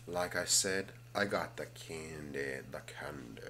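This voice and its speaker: A man hosting a casual, rambling ASMR video, slipping between vocal fry and whispering and often repeating his phrases. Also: a bass voice, takes it slowly, heavy background noise. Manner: alternates hushed fry and whispering.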